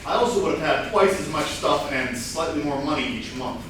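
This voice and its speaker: An actor playing a jaded, divorced party guest with a dry, hesitant delivery in a staged comedy reading. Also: male voice, fairly high pitch, animated, moderate pace, natural voice. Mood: wry. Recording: very echoey room, distant mic, noisy.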